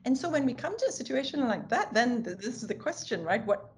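A woman's voice: on the high side, sing-song, brisk, a little hiss or hum. A woman from Singapore, explaining academic ideas in a conversational way, thinking aloud with many fillers and self-corrections. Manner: occasional light laughs. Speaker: linguistics scholar, podcast guest.

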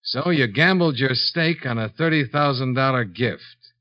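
An actor playing a shrewd, unhurried insurance investigator in a 1950s radio drama, with a dry, matter-of-fact delivery. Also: male voice, medium pitch, bright, forceful tone. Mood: coolly accusing. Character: cool, shrewd male insurance investigator, hard-boiled and unhurried. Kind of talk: 1950s radio detective acting. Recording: dull 1950s radio broadcast recording.

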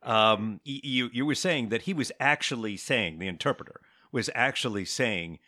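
Male podcast host, deep yet animated and bright-toned, wry joker, dry close mic. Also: normal speed. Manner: joking, playful, sarcastic asides. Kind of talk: casual podcast conversation.

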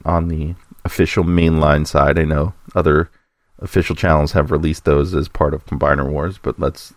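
A man's voice: rumbling low, no echo, clean studio sound. A man hosting a podcast segment with informal commentary, speculating and thinking aloud as he talks. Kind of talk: informal toy-news commentary.

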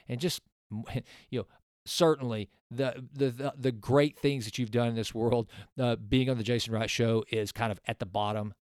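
A man's voice: fairly low pitch, clean tone, dry recording, studio quality. A man in his forties hosting his own podcast, talking earnestly in a rambling way with many restarts. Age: 40s. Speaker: podcast host. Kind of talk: casual solo podcast talk with a sponsor read.

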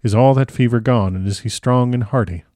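A man's voice: medium pitch, brisk, a little mellow, dry recording, clean studio sound, close to an everyday voice. Man playing courtly, effusive old foreign professor. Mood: earnest, fervent. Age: older. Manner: formal, effusive, foreign-styled broken phrasing.

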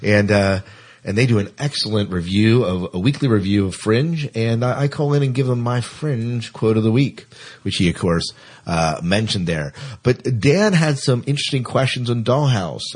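A man's voice: lowish voice, animated, normal speed, darkish tone, husky. A man co-hosting a podcast in a casual, chatty style. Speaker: podcast co-host.

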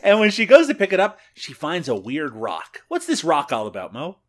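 A man's voice: on the high side, huge pitch swings, normal speed. A Canadian man co-hosting a podcast, recapping a movie in casual chat and laughing often. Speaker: podcast co-host.

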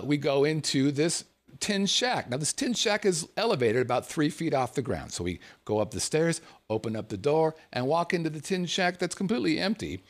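A middle-aged man from the United States telling a comic personal story, animated and profane, laughing as he goes. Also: a little high.

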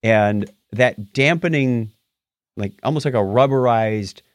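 A man's voice: medium pitch, takes it slowly, no echo, studio quality. A middle-aged man co-hosting a casual tech news show, talking with animation and stumbling over hard words. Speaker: podcast co-host.

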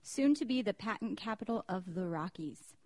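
An American woman hosts a local podcast in a conversational way. Her voice sits at an ordinary pitch for a woman, and she moves between introducing items and casual chat. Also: lively intonation, normal speed, mellow. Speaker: podcast host.

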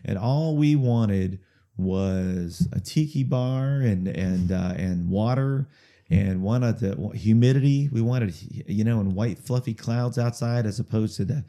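A man chatting in an interview about his music, talking in a halting way with lots of fillers, restarts and repeated words, chuckling now and then. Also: fairly low pitch, warm tone. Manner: chuckles through stories. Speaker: musician guest. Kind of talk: casual rambling interview storytelling.

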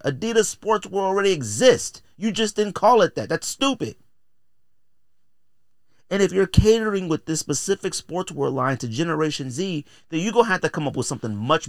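A man's voice: fairly high pitch, no echo, clean studio sound. A man hosting a podcast in a casual, opinionated rant, emphatic and exasperated, repeating phrases to drive points home. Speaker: podcast host.

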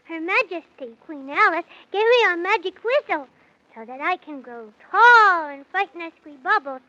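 A woman's voice: very high-pitched, animated, moderate pace, bright, forceful tone, dry recording, heavily acted. A woman playing a polite, earnest six-year-old boy in a 1930s children's radio serial. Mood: wide-eyed. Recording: dull vintage 1930s radio recording.

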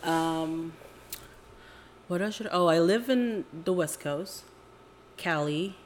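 A woman's voice: lowish voice, slow pace, slight background noise. A woman in her late twenties from Indonesia, co-hosting a podcast in an informal, agreeable, slightly hedging conversational manner. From Jakarta, Indonesia. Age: late 20s. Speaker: podcast co-host. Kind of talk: casual chat.

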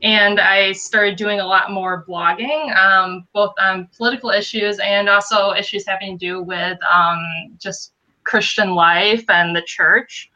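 A woman's voice: mid-range voice, steady tone, measured pace, pushed and bright, highs cut off. A woman in her thirties from Western Michigan with a nasal upper Midwestern accent, chatting as a podcast guest and laughing at herself between phrases. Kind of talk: casual interview chat.

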